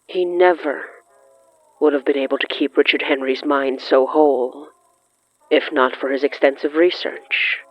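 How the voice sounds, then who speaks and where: A narrator reading scripted horror fiction in the third person, brooding and dramatic, with lingering pauses. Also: mid-range voice, fairly even intonation, no echo. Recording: muffled lo-fi sound with music underneath. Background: music.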